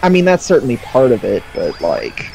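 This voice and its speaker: Streamer chatting casually, really animated and opinionated, music going faintly behind. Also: medium pitch, normal speed, gravelly, poor audio. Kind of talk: casual chat on a game livestream.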